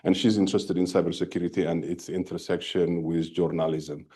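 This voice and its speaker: A very low-voiced man with a mellow, dark tone introduces a speaker in a lecture room. He halts often, with fillers and restarts. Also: fairly even intonation, husky. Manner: halting, with many fillers and restarts. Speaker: workshop organizer introducing a speaker.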